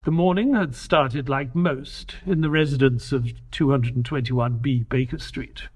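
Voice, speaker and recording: A man's voice: medium pitch, a little slow, husky. A man playing a wry, proper and exasperated detective's companion, acting and narrating in an audio drama. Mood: measured, wry narrating. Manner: polite, wry, precise.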